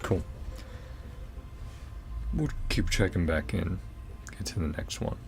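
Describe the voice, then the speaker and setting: A man's voice: sits low, animated, slow, gravelly, darkish tone. A man giving casual, easygoing gameplay commentary, rambling as he thinks aloud. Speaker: gaming video host.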